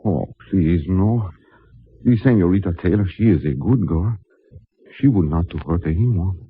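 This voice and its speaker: Husky, humble Spanish-accented manservant. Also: male voice, sits low, lively intonation, exaggerated voice. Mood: anxious, pleading. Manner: halting, deferential, broken English. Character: humble, earnest, pleading male mission servant. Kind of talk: 1950s radio drama acting. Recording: dry narrowband old radio recording.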